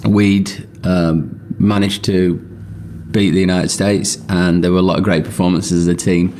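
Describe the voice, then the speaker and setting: A man's voice: deep voice, level tone, measured pace, dark tone, a little hiss or hum. A man telling a chatty anecdote in a recorded clip. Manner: chatty, laughing storyteller. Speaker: interviewee in a played clip.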